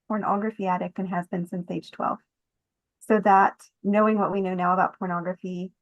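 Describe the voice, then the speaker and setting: A middle-aged woman giving personal testimony as a podcast guest in conversation. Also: on the low side. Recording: muffled, slightly echoey room sound.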